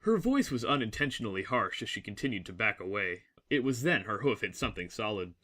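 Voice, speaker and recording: Bright-voiced man narrating a dramatic story. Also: lowish voice, big pitch swings. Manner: measured, literary, solemn.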